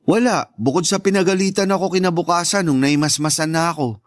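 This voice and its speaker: A man with a Filipino accent playing a shy, gentle, self-blaming teenage younger brother in a radio drama, speaking meekly. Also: on the high side, brisk, no room sound, clean studio sound, lightly acted. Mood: subdued, hurt. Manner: meek, self-deprecating. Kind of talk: Tagalog radio drama acting.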